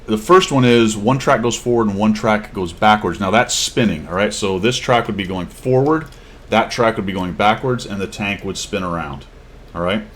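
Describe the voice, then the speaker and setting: A man hosting a casual gameplay tutorial, explaining in a relaxed, chatty way with asides and fillers. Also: on the low side, moderate pace. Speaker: gaming channel host giving a tip.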